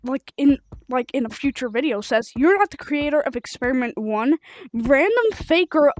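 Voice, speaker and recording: High, animated female game streamer. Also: moderate pace, no echo. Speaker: livestreamer of a video game. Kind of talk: rambling casual livestream chat while gaming.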